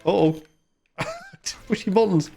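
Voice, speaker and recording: A man's voice: high voice, a little slow, vocal fry, mellow, slightly rough. A man giving casual, colloquial, self-deprecating commentary while playing a video game. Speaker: gaming video host. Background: video game music.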